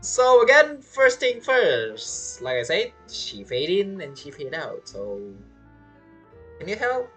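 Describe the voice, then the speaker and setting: Lowish husky girl streamer, chatty reactions, really animated, faint game music behind. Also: takes it slowly. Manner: expressive, bantering, repeats words. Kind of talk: casual reaction commentary over gameplay. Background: video game music.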